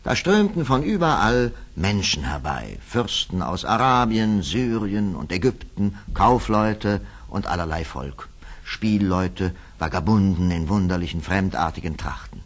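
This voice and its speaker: Deep, husky male fairy-tale narrator. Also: very animated. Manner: theatrical, measured storytelling.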